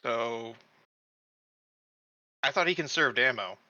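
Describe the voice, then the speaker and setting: A man's voice: mid-range voice, expressive, slow, pushed and bright, no echo, highs cut off. A man chatting casually as a player in a tabletop roleplaying game.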